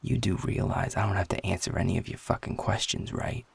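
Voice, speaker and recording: A man playing a guarded, sarcastic, defiant werewolf in an intimate audio roleplay, talking bluntly with a husky, gravelly voice. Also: sits low, a little fast, no echo, full character voice. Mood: irritated, defiant. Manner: sardonic, blunt, swearing, confrontational.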